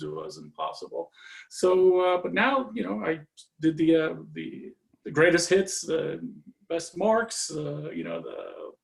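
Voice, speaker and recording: A man from Norway chatting as a guest on a video-call interview, relaxed and full of fillers, trailing off often. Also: fairly high pitch, gravelly. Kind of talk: casual conversation.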